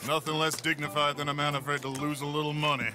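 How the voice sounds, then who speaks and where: A man's voice: on the high side, little pitch movement, quick pace, music in the background, close to an everyday voice. A man playing an arrogant, sneering rich gambler. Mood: haughty. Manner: haughty, sneering.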